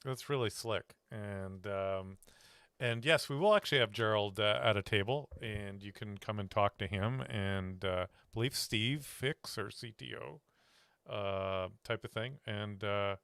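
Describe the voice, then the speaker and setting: A man's voice: fairly low pitch, measured pace, fairly bright tone, clean tone, no room sound. A man hosting a casual interview as a company representative, speaking in an informal, loosely phrased way. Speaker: interviewer host from a sponsoring company.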